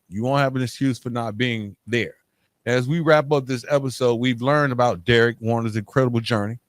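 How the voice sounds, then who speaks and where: Man, podcast host, mid-range pitch, halting delivery, searching for words, dry recording. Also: dry recording. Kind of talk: hosting an interview podcast, wrapping up with calls to action.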